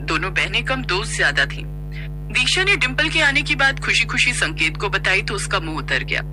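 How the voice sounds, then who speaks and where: A woman's voice: medium pitch, big pitch swings, brisk. A woman narrating a scripted story as a storyteller. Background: music.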